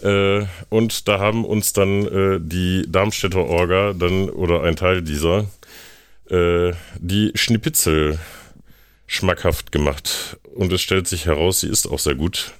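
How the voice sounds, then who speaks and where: A young man hosting a casual podcast chat, explaining things in a halting, deliberate way with dry jokes. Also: sits low, fairly even intonation, clean tone, no echo. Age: young adult.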